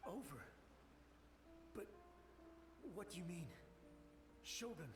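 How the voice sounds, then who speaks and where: A man playing the ghostly memory of a devoted, desperate samurai soldier, halting and fervent, in a video game. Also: sits high, expressive, over music. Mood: pleading, bewildered. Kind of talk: dramatic video game voice acting. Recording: echoey voice with noisy game ambience.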